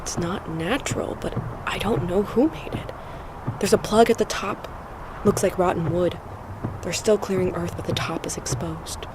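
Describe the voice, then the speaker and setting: A woman playing a watchful field observer in an audio drama, reporting in a hushed, halting way. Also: fairly low pitch, steady tone, background noise. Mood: hushed wonder.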